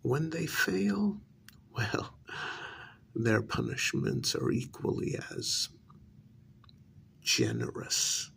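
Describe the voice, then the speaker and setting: A man playing a slow, gravelly aristocratic vampire. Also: mid-range voice, wildly swooping pitch, a bit bright, a little hiss or hum, heavily acted. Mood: coldly cruel. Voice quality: gravelly, breathy, often dropping to a whisper. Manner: theatrical, condescending, deliberate, drawn-out delivery. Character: cruel, haughty high-society male vampire, menacingly amused. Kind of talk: ASMR role-play monologue.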